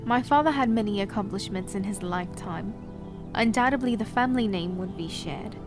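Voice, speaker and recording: An actress playing an ageless queen who speaks in a measured, gracious and guarded way. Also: medium pitch, natural voice. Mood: formal. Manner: measured, formal and gracious, coolly reserved. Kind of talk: audio drama acting. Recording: music bed under the voice.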